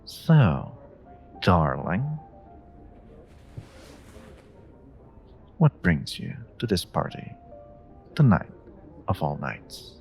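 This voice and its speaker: Male actor as flirty charming stranger, very low voice, slow teasing delivery, playful, coy, romantic audio roleplay. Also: sing-song, vocal fry, a little mellow, faint music behind, exaggerated voice. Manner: teasing, coy, unhurried, murmuring endearments. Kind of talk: romantic audio roleplay, one-sided dialogue addressed to the listener.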